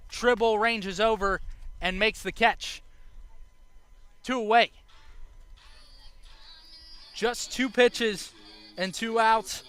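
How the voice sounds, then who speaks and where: A man's voice: sits high, pushed and bright, smooth. A young American man calling baseball play-by-play as a live broadcast commentator. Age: young adult.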